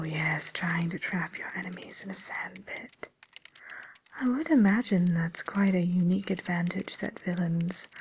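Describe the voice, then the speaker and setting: Woman acting as courteous fantasy matchmaker for villains, breathy whisper, very husky, unhurried, ASMR roleplay, close mic. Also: fairly low pitch, full character voice, poor audio. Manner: gentle, hesitant, courteous customer-service delivery. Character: polite, attentive female matchmaker for wicked villains. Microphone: close microphone.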